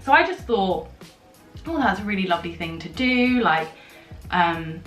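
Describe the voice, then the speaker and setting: Slightly husky woman vlogging. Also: mid-range voice, big pitch swings, normal speed, fairly bright tone. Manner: rambling, filler-heavy storytelling. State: slightly husky from a sore throat. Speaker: beauty vlogger. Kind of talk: chatty get-ready-with-me vlog. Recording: echoey room with faint music.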